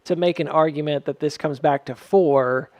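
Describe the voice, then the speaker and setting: A man with a slightly high voice talks through market analysis in a casual, off-the-cuff way. He hedges often, reasons aloud and checks in with his listeners. The sound is very dry, on a close mic. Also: fairly even intonation, normal speed, dry recording. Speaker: host of a stock chart analysis video. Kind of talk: casual unscripted market commentary.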